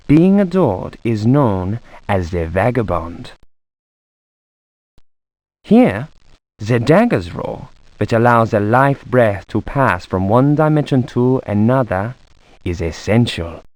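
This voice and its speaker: An actor voicing a scholarly occult researcher in a video game, reading a grim treatise in a formal, measured, academic way. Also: male voice, medium pitch, sing-song, darkish tone, no echo. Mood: grave, scholarly. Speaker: narrator of an in-game book. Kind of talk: scripted dramatic reading.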